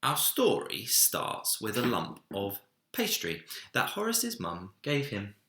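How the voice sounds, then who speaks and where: A man narrates a children's storybook with very animated intonation. His voice is bright and fairly low, and he takes his time in a roomy, echoey space. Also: slow, gravelly. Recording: roomy, echoey indoor sound.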